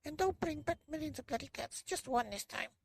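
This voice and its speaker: A man's voice: very high-pitched, expressive, brisk, creaky, dry recording, voice pushed up, exaggerated voice. A man voicing a very old woman, anxious, lonely and bossy, with a plaintive, scolding manner, in a bedtime storybook reading.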